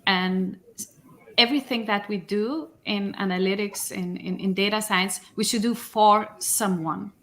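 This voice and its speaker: A woman's voice: mid-range voice, unhurried, fairly bright tone. Lithuanian woman guest, thoughtful. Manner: thoughtful, searching for words, laughs lightly. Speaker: podcast guest, company executive. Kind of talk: casual interview answers.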